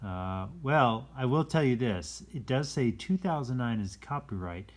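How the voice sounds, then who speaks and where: A man's voice: medium pitch, lively intonation, a little slow. A man hosting a read-aloud in a casual style, with easygoing, rambling explanatory asides. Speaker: storytime reader and host.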